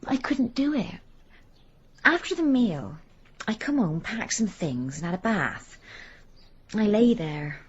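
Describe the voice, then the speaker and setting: Casting: disappointed village wife, earthy and plain-spoken, husky mid voice, radio drama. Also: female voice, medium pitch, sing-song, slow pace, long pauses, muffled recording, exaggerated voice. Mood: wistful, resigned. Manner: blunt, earthy, confiding. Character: blunt, disappointed working-class village wife having affairs.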